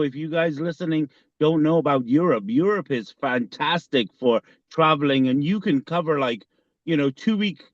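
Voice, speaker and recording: A man's voice: fairly high pitch, dry recording. Man, podcast co-host, dull lo-fi sound. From Iran. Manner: halting, many fillers and restarts. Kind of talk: casual conversation.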